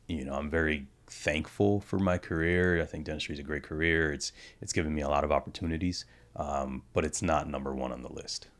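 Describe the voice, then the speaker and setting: A man in his thirties, born in the Dominican Republic, talking candidly as a podcast guest, reflective and full of "you know" fillers. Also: a bass voice. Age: 30s. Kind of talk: candid conversational interview.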